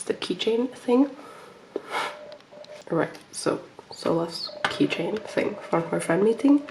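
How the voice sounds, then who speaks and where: A woman's voice: lowish voice, creaky, a little mellow, a little hiss or hum, low-quality recording. A woman showing her collection in a casual vlog, rambling with lots of ums and restarts, slightly sick and nasal. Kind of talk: casual show-and-tell vlog.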